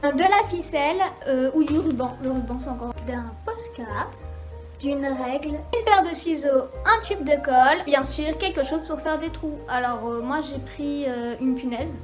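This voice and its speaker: A woman giving a casual craft tutorial, chatting away with plenty of small hesitations. Her voice is clearly high. She lists her supplies as she goes. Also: unhurried, soft background music. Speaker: guest friend.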